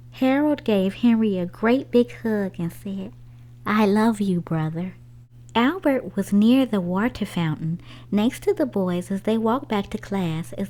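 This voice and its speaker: A woman's voice: mid-range voice, darkish tone. A woman reading aloud as a children's story-time host, with a sing-song manner aimed at small children. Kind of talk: animated read-aloud storytelling for young children.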